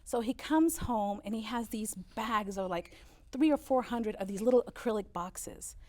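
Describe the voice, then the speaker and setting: A woman's voice: fairly high pitch, normal speed. A woman in her fifties whose first language is German, telling family stories as an interview guest with lots of "uh" fillers. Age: 50s. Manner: storytelling, warm recollection with frequent "uh" fillers. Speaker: interview guest, business owner. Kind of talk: relaxed interview conversation.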